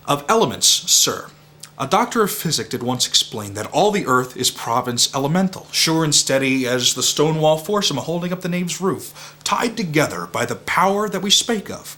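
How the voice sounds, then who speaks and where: Man acting a forceful, pompous Shakespearean knight. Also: on the high side. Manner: declamatory, pompous, emphatic. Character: blustering, hot-tempered, pompous Shakespearean knight. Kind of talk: mock-Shakespearean dramatic recitation.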